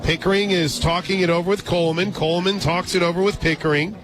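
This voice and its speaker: A man doing live radio softball play-by-play, dropping conversational asides between pitches. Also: steady tone, quick pace. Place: radio booth at an outdoor ballpark. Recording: outdoor stadium crowd noise, loud music. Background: loud crowd and ballpark music.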